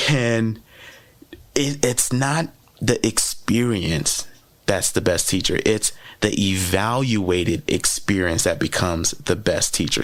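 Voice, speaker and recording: Guy w/ fairly low voice, french is his first language, chatty teaching podcast, keeps going "right?". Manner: conversational, repeats key phrases, often asks "right?". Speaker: podcast host and educator. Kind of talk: motivational teaching talk.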